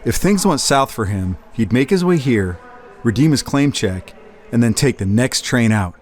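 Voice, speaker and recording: A man's voice: mid-range voice, expressive, normal speed, lightly acted. A man playing a put-upon, shrewd husband in a noir crime audio drama. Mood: urgent, low-key. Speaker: co-lead actor of audio drama. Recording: faint background noise and ambience.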